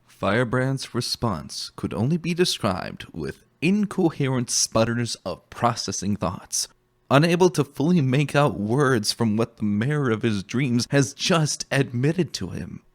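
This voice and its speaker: Lively, expressive male story narrator. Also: medium pitch, a little slow. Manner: theatrical, expressive. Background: music.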